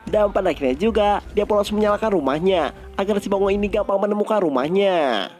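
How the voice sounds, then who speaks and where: A man's voice: high-pitched, lively intonation, fast, a little mellow, faint music behind. A man with an Indonesian accent narrates a film recap in a casual style.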